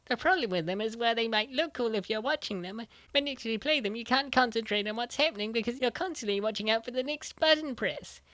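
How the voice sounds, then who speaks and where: A woman's voice: a little high, quick pace, few pauses, a bit bright, no room sound, lightly acted. A woman voicing an earnest, nerdy young female gamer who explains her opinions in a comic dub. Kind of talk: comic dub dialogue, gaming banter.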